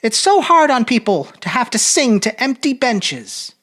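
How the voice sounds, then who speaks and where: A man's voice: extremely high pitch, sing-song, measured pace, fairly bright tone, pitched above the performer's own voice, heavily acted. A man voicing a genteel, self-possessed middle-aged lady making polite conversation in a literary audiobook. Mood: polite regret.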